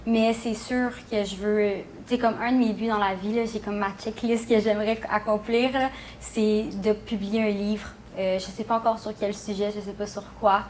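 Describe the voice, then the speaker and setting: A woman's voice: medium pitch, little pitch movement, normal speed, few pauses, a little hiss or hum. A young woman with a Quebec French accent, chatting casually and informally, full of little filler phrases. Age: young adult. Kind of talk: casual interview chat.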